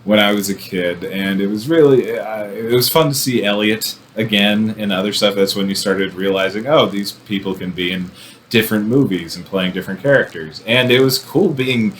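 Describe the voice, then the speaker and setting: Man, lowish voice, movie review host, conversational, sardonic asides, audible background noise. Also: moderate pace. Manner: wry, irreverent, sardonic asides. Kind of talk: casual, conversational film commentary.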